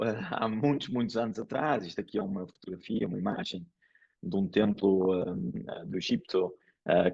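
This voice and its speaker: A man's voice: on the low side, creaky, a little mellow, slightly rough. A man with an Italian accent speaking Portuguese, giving a hesitant academic lecture full of fillers and restarts. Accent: Italian with English and Porto traces in Portuguese. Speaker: engineering professor giving a conference talk. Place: lecture hall. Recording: dull lo-fi lecture recording.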